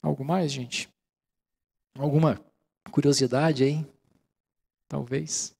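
A middle-aged Brazilian man giving a calm, explanatory lecture in a large auditorium, speaking into a handheld microphone and often checking in with the audience. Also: mid-range voice, slow pace, gravelly, breathy edge. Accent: Brazilian Portuguese. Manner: calm, explanatory, many fillers like "né". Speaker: mindfulness lecturer. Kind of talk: relaxed lecture with audience questions.

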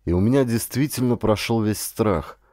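A man's voice: on the low side, moderate pace, darkish tone, no room sound, studio quality. A man reading a first-person adventure story as a scripted audiobook narration.

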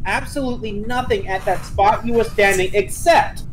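A man's voice: high voice, moderate pace, poor audio. A man debating casually as a player in an online group game. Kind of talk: argumentative game discussion. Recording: faint noise behind voice.

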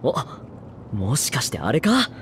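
A rough-spoken rabbit-eared townsman in a video game. He talks slowly and bluntly, drawing out his hesitations with a very lively pitch. Some ambient noise sits behind the voice. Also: full character voice. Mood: surprised, friendly. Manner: blunt, slangy, drawn-out hesitations. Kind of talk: Japanese video game voice acting.

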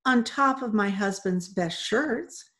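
A woman voicing a tiny older housewife who is steady, stern and no-nonsense, in a storybook reading. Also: mid-range voice, takes it slowly. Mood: dry, steady. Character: tiny, steady, firm older custodian's wife.

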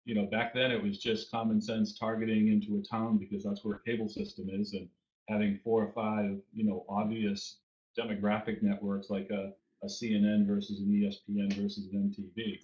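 Fiftyish Boston man, lowish voice, rambling guest, roomy muffled webcam audio. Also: monotone, brisk, darkish tone. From Boston area. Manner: rambling with fillers and restarts. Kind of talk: interview answers. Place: home room. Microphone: video call webcam.

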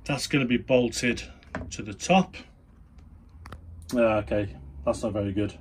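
A man's voice: medium pitch, a little slow. A middle-aged man casually talking through a hands-on build, thinking aloud as he works in a small indoor workshop. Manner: thinking aloud while working with his hands. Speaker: DIY radio hobbyist vlogger. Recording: clear background noise, room ambience.

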